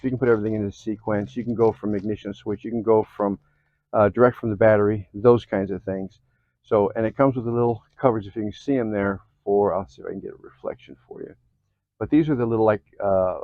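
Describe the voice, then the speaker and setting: An American man giving a casual, folksy how-to, often checking in with a quick okay or all right. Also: fairly low pitch, no echo, narrow, tinny audio. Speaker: host of an off-road vehicle DIY channel. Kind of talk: casual how-to chat to camera.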